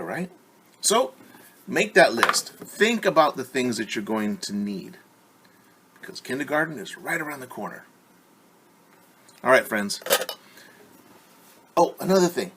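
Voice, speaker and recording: A man's voice: medium pitch, sing-song, a little slow, long pauses, fairly bright tone, a little hiss or hum. A man teaching young children at story time, reading aloud and explaining in simple, encouraging words with questions to his listeners.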